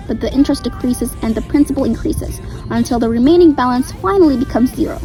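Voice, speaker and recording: A young woman from Indonesia with an Indonesian accent, patiently explaining a math lesson as a student presenter. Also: a little high. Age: teens. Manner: steady, patient explaining, switching between English and Indonesian.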